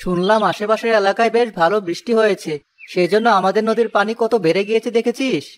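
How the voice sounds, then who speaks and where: A man playing an earnest, practical village fisherman in an animated story. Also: high voice, fast talker, slight background noise, close to an everyday voice. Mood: eager.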